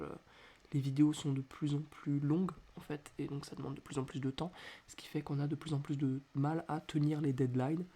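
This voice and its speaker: A man hosting a casual solo podcast, talking quietly at home with a stuffy nose from a cold, hesitating often with filler sounds. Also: fairly high pitch. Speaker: podcast host. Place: indoors at home at night.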